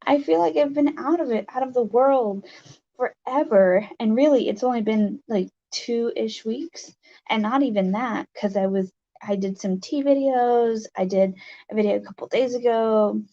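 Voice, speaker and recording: A livestream host with a raspy voice, chatting casually and haltingly with viewers, with many fillers. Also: mid-range voice, mellow, dull audio.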